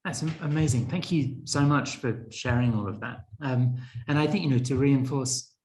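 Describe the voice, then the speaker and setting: A man's voice: mid-range voice, normal speed, darkish tone, poor audio. A man moderating a panel over a video call, polite and hesitant, with many restarts.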